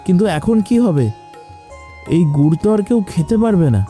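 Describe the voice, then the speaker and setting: Worried cartoon sidekick played by a man, high-pitched, muffled. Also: sing-song, moderate pace, dark tone, faint music behind. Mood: alarmed. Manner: worried, pleading. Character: anxious, cautious young helper of a swindler. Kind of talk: cartoon story voice acting.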